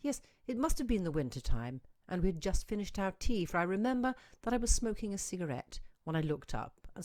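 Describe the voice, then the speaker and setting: A woman reading a short story aloud as an expressive, musing narrator with dramatic emphasis. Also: low voice, dry recording. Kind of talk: expressive literary audiobook reading.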